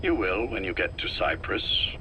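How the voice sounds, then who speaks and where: Casting: older, sickly spy chief for a game. Coolly polite yet threatening, deliberate, bright forceful tone, faintly noisy recording. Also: male voice, sits low, sing-song, heavily acted. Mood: quietly persuasive. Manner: measured, deliberate, politely menacing. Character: ailing, calculating older male intelligence chief, calm and controlling. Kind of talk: scripted game dialogue, dramatic acting.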